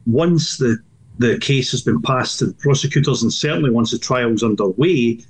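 A man's voice: mid-range voice, moderate pace, slightly rough. An older Scottish man, a former police superintendent, answering as a news interview guest in a blunt, emphatic way with repeated restarts.

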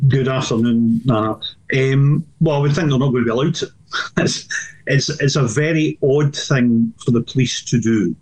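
A man's voice: medium pitch, normal speed, darkish tone, rough recording. An older Scottish man, a former police superintendent, answering as a news interview guest in a blunt, emphatic way with repeated restarts.

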